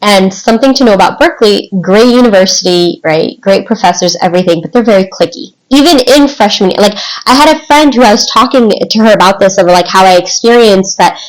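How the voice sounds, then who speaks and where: A woman in her twenties with an American accent, telling personal stories in an informal, animated, rambling way as a podcast guest. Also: mid-range voice, fairly quick, hardly any pauses, poor audio. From United States. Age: 20s. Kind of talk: casual podcast chat, personal storytelling.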